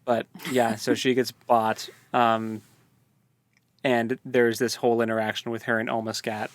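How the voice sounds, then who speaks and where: A man's voice: medium pitch, flat delivery, measured pace, dry recording. A man co-hosting a casual book discussion podcast, hesitant and full of restarts as he talks through a story. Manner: hesitant, many fillers and restarts. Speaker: podcast co-host.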